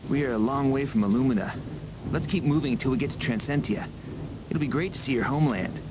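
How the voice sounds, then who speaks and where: An actor playing an eager, friendly young hero in a video game, speaking in an earnest, straightforward way. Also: male voice, medium pitch, quick pace, noisy background, natural voice. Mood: upbeat. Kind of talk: video game cutscene acting. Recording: game audio with music behind.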